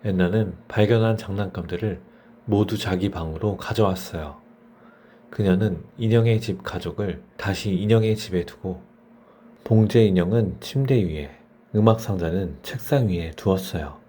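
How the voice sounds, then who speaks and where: A man's voice: deep voice, moderate pace, warm tone, slight background noise. A man narrating a bedtime story for children as a storyteller.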